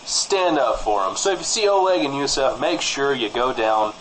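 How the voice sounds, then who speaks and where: A man's voice: medium pitch, lively intonation, moderate pace, a bit bright, slight background noise, close to an everyday voice, poor audio. A man playing a breezy small-town radio co-host who needles with mock-earnest sincerity in comedy banter.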